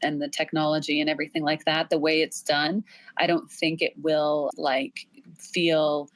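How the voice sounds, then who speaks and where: Lady host w a low voice, dry sound, chatting casually w guests. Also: flat delivery, moderate pace, no echo. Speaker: podcast host. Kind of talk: casual interview discussion.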